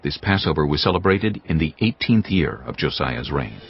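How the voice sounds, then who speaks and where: A man narrating scripture from a script in a solemn, measured storytelling manner. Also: extremely low pitch, animated. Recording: dull band-limited sound, music underneath. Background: clear background music.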